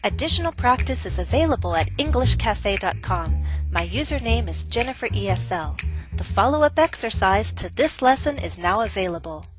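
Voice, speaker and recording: A woman teaching an English grammar lesson in a clear, measured, scripted way. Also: fairly high pitch, sing-song, over music.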